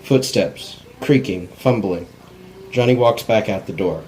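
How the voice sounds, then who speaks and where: A man with a fairly low voice narrates a script's stage directions at a table read. It is an amateur recording with faint noise behind him. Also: unhurried, a little mellow, low-quality recording. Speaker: screenwriter reading stage directions.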